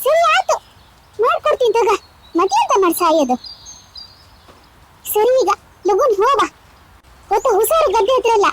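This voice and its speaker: Someone voicing a very high-pitched nagging village mother. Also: sing-song, normal speed, long pauses, fairly bright tone, a little hiss or hum, heavily acted. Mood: exasperated. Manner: nagging, grumbling, coaxing. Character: exasperated village mother scolding and coaxing her son. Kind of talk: cartoon dialogue in colloquial village speech.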